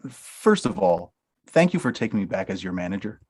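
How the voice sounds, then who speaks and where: Guy reading a deadpan manager dude, kinda husky. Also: low voice, normal speed. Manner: dry, deadpan. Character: dry-humored young male talent manager. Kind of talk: table read of a comedy script.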